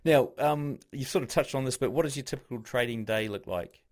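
A man's voice: medium pitch, a little fast, a little mellow. A man conducting a casual interview, asking conversational questions.

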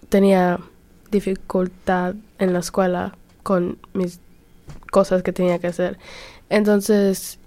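A woman's voice: medium pitch, dark tone. A teenage girl, a student, talking casually in a group discussion and steering the conversation with questions. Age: teens. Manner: chatty, leads the talk, asks others questions.

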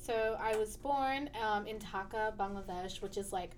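A woman's voice: medium pitch, normal speed, slight background noise. A young adult woman talking as a student guest in a casual interview, chatty and full of fillers, laughing easily.

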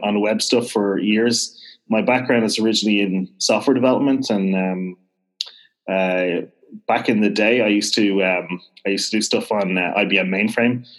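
A man's voice: on the low side, poor audio. A man with a mild Irish accent, chatting as a podcast guest, hesitant with many fillers and restarts. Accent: mild Irish (Dublin). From Ireland. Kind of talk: casual interview chat.

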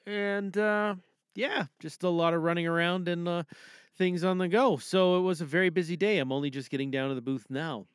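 A man's voice: sits high, fairly even intonation, fairly quick, clear, resonant tone, no room sound, clean studio sound. A middle-aged man with a Canadian accent, hosting a podcast in a casual, rambling, conversational way from a home booth. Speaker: podcast host. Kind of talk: casual chat.

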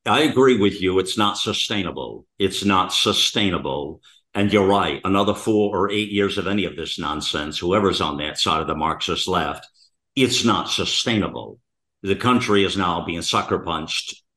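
Deep-voiced older American male talk show host. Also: animated, normal speed, sharp tone. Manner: emphatic, repeats phrases for weight. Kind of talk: conversational political commentary.

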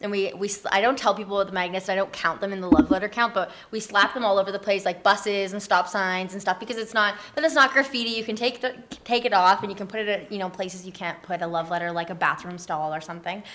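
A woman chatting casually and playfully as a guest on a talk show, with an informal, animated way of speaking. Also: mid-range voice, fast, few pauses. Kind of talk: casual, animated group chat.

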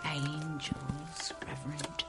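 A woman's voice: sits low, level tone, takes it slowly, music in the background. An actress playing a crippled old woman in a radio drama, dry, teasing and devout. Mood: wry, tender. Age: older.

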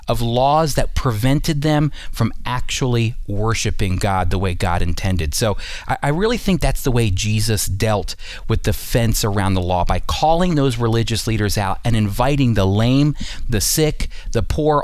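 A man's voice: mid-range voice, no room sound. Earnest man teaching the Bible.

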